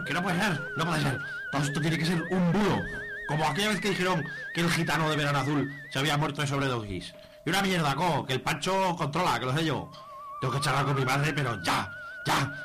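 A man's voice: on the high side, close to an everyday voice. A man playing a cheeky, blustering teenage punk in a radio soap, with an Aragonese accent and stammering, slangy delivery. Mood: panicked. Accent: Aragonese Spanish. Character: cheeky panicky working-class teenage punk boy. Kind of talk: amateur radio soap acting. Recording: clearly noisy recording.

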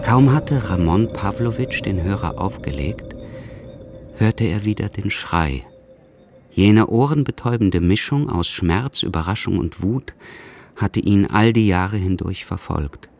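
An actor narrating a literary radio play in a sombre, measured, scripted manner. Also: male voice, on the low side, darkish tone, faint music behind.

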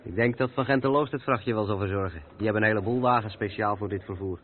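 An actor the playing a seasoned, patient truck driver who explains things matter-of-factly in a radio play. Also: male voice, on the low side, quick pace, close to an everyday voice. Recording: tinny, noisy old radio recording.

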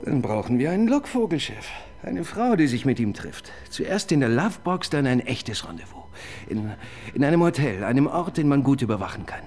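An actor playing an eager, pedantic police assistant in a radio crime drama, prone to hesitant restarts. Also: male voice, mid-range voice, very animated, moderate pace, a little mellow, lightly acted.

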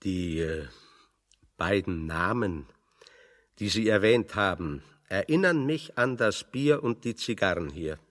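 An actor playing a big, pedantic physicist in a radio drama, speaking in ornate, deliberate, deadpan irony. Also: male voice, deep voice, in a large hall. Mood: mock-earnest musing. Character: big, fat, pedantic physicist, drily ironic. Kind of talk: German radio drama dialogue.

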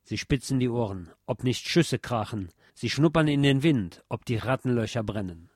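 A man reading a literary text aloud with expressive, emphatic delivery. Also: medium pitch, moderate pace, no room sound, studio quality.

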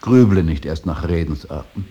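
An actor playing a stern, brooding old painter and father in a radio drama, solemn and intense, moving between hushed breathy words and forceful declaiming. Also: a bass voice, animated, moderate pace, dull audio. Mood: stern reproach. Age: older. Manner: solemn, intense, swings from hushed whisper to outburst. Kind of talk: 1980s German radio drama acting. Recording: hissy radio drama recording.

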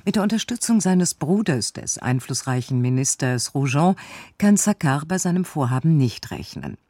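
A man's voice: on the high side, sing-song, normal speed, darkish tone, no room sound. A man reading a scripted synopsis as the narrator of a radio drama. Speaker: narrator giving the recap.

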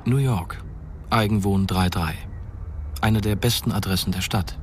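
An actor as the measured, matter-of-fact narrator of a science fiction radio drama. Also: male voice, on the low side, heavy background noise, close to an everyday voice. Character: composed male narrator of a grim science fiction thriller. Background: loud music.

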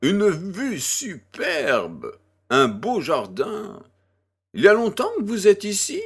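A man's voice: high voice, huge pitch swings, slow, husky, heavily acted. A man playing a jovial, worldly French baron in an audio drama, with pompous, effusive courtesy. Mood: curious, courteous.

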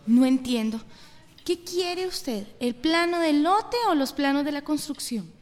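A woman's voice: sits high, measured pace. An actress playing a curt, dismissive female municipal clerk in a radio soap opera. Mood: impatient. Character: brusque, unhelpful female municipal permits clerk.

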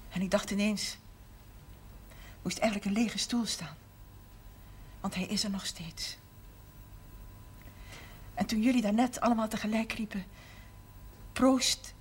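A woman's voice: medium pitch, steady tone, measured pace, long pauses, lightly acted. An actress playing a tender, proud mother of grown children in a television drama. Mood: wistful. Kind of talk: naturalistic television drama acting. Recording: slightly noisy old TV soundtrack.

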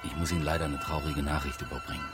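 A deep-voiced, restrained police inspector. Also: male voice, steady tone, racing, over loud music. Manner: formal, restrained, quiet. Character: calm, formal male police inspector. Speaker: police detective chief inspector.

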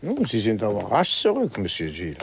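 A man's voice: fairly low pitch, wildly swooping pitch, fairly quick, background noise. A man acting a shrewd former policeman in a 1950s German radio drama, reporting dryly and matter-of-factly. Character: shrewd former policeman, matter-of-fact investigator helping a client.

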